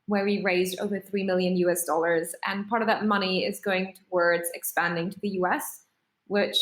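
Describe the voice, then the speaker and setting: A woman from Singapore, a startup founder, telling her story in a measured, articulate way in a podcast interview. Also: medium pitch, roomy sound.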